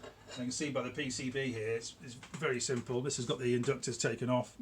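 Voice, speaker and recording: A middle-aged man giving a casual, informal product demonstration in a small indoor workshop, explaining haltingly and repeating words for emphasis. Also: medium pitch, fairly even intonation. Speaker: electronics builder presenting their own product. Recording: clear background noise in a room.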